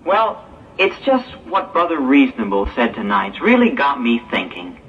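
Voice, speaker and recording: A man's voice: mid-range voice, wildly swooping pitch, normal speed. A man playing a worried, inquisitive young man in a 1960s religious audio drama. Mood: troubled. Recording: distant, dull, hissy 1960s tape.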